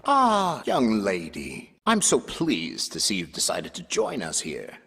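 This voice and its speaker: Deep, gravelly, theatrical courtly cult man. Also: huge pitch swings, slow, pushed and bright, dry recording, studio quality, heavily acted. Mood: welcoming. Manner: formal, theatrical, gracious. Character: solemn, courtly older male death-cult recruiter in top hat. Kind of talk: video game character acting. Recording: dramatic music behind.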